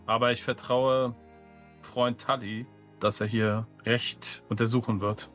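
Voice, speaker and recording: Man playing dry mocking fantasy lord, mid pitch, bit of music. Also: flat delivery, a little slow, fairly bright tone, natural voice. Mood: sceptical.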